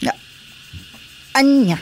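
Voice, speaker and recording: A woman's voice: drawn-out words, a little mellow. A woman with an Ilocano accent playing a stubborn, defensive, ailing drinker in a radio drama. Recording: faint background noise.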